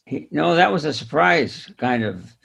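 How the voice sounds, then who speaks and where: A man speaking casually and haltingly in a book discussion. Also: fairly high pitch, sing-song, fairly bright tone. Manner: halting, hesitant delivery. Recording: clear background noise with clicks.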